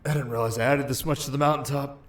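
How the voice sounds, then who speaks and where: A man's voice: medium pitch, steady tone, fast talker, dry recording, natural voice. A man playing a cocky, playful winter spirit in an ASMR roleplay, speaking straight to the listener in flippant, sarcastic, casual banter. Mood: nervous, urgent.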